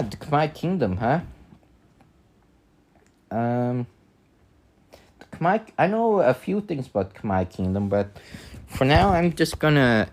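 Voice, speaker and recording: A man with a Vietnamese accent, whose first language is Vietnamese, chatting casually on a live stream, thinking aloud with many fillers and restarts. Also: fairly low pitch. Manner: thinking aloud while working.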